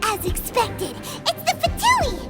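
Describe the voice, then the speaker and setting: Squeaky little fairy sidekick for a game: extremely high, unhurried, naive, loud music behind. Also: female voice, huge pitch swings, heavily acted. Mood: nervous. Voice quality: squeaky, childlike. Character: tiny childlike floating fairy companion, excitable and naive. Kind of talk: video game character acting.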